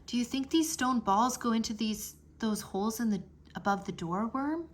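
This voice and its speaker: A woman voicing an earnest, excitable young boy dragon master in a storybook, with eager delivery. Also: a little high, moderate pace, a little hiss or hum, lightly acted. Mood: wondering, uneasy.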